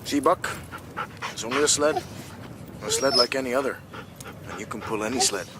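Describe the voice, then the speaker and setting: A man's voice: medium pitch, moderate pace, heavy background noise, lightly acted. An actor playing a proud gold prospector who coaxes his dog with fervent love, in a radio drama. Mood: reassuring. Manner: fervent, coaxing.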